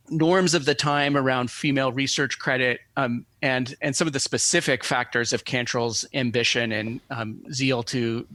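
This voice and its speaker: A man's voice: medium pitch, sharp tone, no room sound. Scholarly man explaining, dry close sound. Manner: hesitant, digressive, scholarly phrasing. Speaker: professor, expert guest. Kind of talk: academic explanation in an interview.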